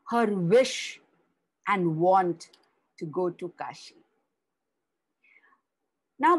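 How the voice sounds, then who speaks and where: A woman narrating a children's story slowly, explaining as she goes and leaning on key words. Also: fairly low pitch, breathy edge, rough recording. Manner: slow, explaining, with rising emphasis on key words.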